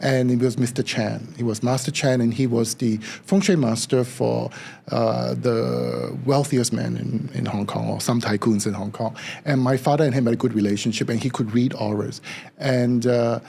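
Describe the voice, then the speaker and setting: Mellow husky man from Singapore. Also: medium pitch, normal speed. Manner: animated storyteller, acts out quoted remarks with a lifted voice. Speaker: feng shui consultant, guest. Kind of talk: casual interview storytelling.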